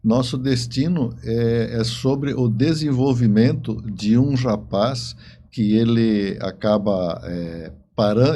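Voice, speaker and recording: A man in his seventies with a Brazilian accent answering interview questions conversationally, in a halting way that repeats words. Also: mid-range voice, dark tone, slight background noise. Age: 70s. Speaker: interview guest, writer and engineer.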